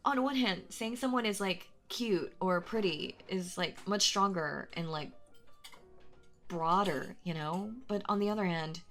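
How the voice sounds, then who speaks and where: Soft music plays behind a shy, adorkable young woman, acted by a woman in an audio roleplay. She speaks slowly with a creaky edge, stammering and second-guessing herself as she rambles. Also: lowish voice. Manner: hesitant, stammering, nervously giggly, self-doubting. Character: very shy, anxious, rambling adorkable young female stranger in cafe. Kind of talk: intimate audio roleplay, rambling chat addressed to listener.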